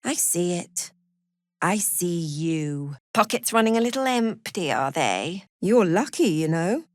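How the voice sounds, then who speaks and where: A woman playing a cruel, zealous cult prison warden in a fantasy video game, haughty and sardonic, with measured, threatening delivery. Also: lowish voice, very animated, dry recording. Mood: cold fervour. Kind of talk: video game character voice acting.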